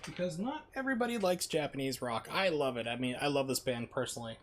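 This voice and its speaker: A man's voice: mid-range voice, expressive, fast talker, slight background noise. A man casually commentating on his live gameplay, with playful, rambling asides. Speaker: video game streamer. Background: video game sounds.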